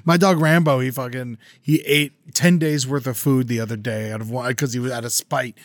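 A man's voice: medium pitch, fairly even intonation, a little fast, no echo, clean studio sound. A man co-hosting a comedy podcast, telling profane, rambling stories and running a game. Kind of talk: crude comedy podcast banter. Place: podcast studio.

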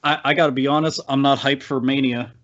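A man's voice: mid-range voice, steady tone, fast, muffled recording, over a noisy crowd. A man giving casual, blunt opinions on a podcast panel with slang and plenty of swearing.

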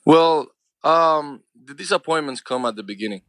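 A man's voice: on the high side, expressive, moderate pace, a bit bright, no room sound. A man around forty with a Cuban accent, Spanish his first language, talking earnestly as an interview guest and peppering his speech with "man" and "you know". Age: 40s. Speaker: actor and filmmaker guest. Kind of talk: casual interview talk.

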